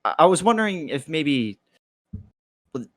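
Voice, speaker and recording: A man's voice: a little high, big pitch swings, unhurried, fairly bright tone, no room sound. A man playing a nervous, self-deprecating college freshman with a hesitant delivery in a script table read. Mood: nervous, awkward. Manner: hesitant, stammering when nervous.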